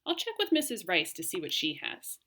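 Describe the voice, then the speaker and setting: Female teacher for children's read-aloud; slightly low-set voice, highly animated intonation, clear phrasing. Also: brisk. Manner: expressive, clearly phrased for young listeners. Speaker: teacher reading aloud to pupils. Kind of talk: read-aloud storytelling and lesson talk for children.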